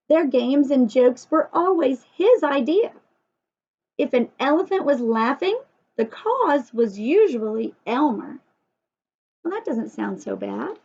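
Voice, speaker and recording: A woman's voice: high voice, animated, a little slow, warm tone, husky. A woman teacher leading a story time lesson for young children, asking lots of questions and emphasising key words. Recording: dull lo-fi sound.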